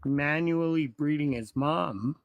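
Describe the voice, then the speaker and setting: Gravelly laid-back man. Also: on the high side, takes it slowly, no echo. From Alberta, Canada. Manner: laid-back, slightly gravelly, hesitant fillers. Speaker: guest. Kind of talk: casual livestream banter. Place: outdoors.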